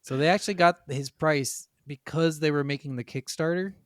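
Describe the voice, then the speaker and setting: A man's voice: fairly high pitch, normal speed, no room sound, clean studio sound. A man co-hosting a casual gaming podcast, chatting and reading from notes with frequent casual swearing. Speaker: podcast co-host. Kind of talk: casual video game podcast chat, partly reading from notes.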